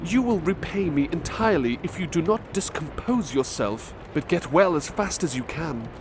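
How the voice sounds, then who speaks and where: Man voicing a gentle caring friend, a bit raised pitch, traffic noise behind. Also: animated, moderate pace, close to an everyday voice. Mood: gently coaxing. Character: kind, attentive young male friend.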